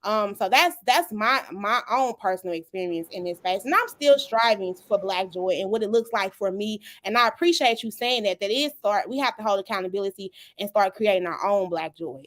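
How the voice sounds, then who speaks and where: A woman's voice: medium pitch, lively intonation, brisk, few pauses, a bit bright. A woman hosting a podcast panel, talking animatedly, repeating herself for emphasis and laughing often. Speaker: podcast host. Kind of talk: casual panel discussion.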